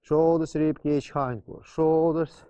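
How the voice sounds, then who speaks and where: Mellow-voiced non-native male horsemanship instructor. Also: fairly high pitch, fairly even intonation, unhurried, muffled recording. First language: not English. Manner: emphatic repetition of key terms, non-native grammar. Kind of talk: instructional explanation.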